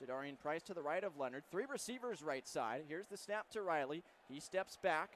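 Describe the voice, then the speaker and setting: A man's voice: on the high side, animated, brisk, a bit bright. A man doing live radio play-by-play of American football, narrating the action in clipped present-tense phrases. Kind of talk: live play-by-play sports commentary. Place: football stadium broadcast position. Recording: faint stadium background noise.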